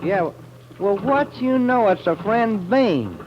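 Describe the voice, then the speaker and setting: A man's voice: high voice, very animated, takes it slowly, full character voice. An actor playing an eager, folksy, girl-chasing sidekick in a 1940s radio adventure, with slangy, drawling talk. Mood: concerned. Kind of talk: 1940s radio drama acting. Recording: noisy muffled 1940s radio recording.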